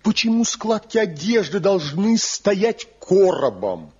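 A bitter, proud craftsman in an old radio drama. His husky voice is fairly high and swoops widely as he declaims with envy and defiance. The recording is dull and lo-fi. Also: big pitch swings, takes it slowly, a little mellow, heavily acted. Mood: passionate, questioning. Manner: theatrical, declamatory, boastful. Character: proud, bitter rebel master woodcarver, envious and defiant. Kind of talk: Soviet radio drama acting.

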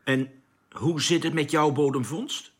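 An actor playing a commanding but doubting spaceship chief in a radio drama, with a husky voice and urgent, questioning manner. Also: male voice, a little high, steady tone, measured pace, close to an everyday voice. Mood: puzzled.

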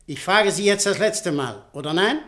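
A curt, ruthless tycoon. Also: male voice, sits high, animated, moderate pace, a bit bright. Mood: commanding. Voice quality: curt, commanding. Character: cold ruthless male newspaper owner, commanding. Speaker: businessman Butschan. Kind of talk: 1950s-60s radio play acting.

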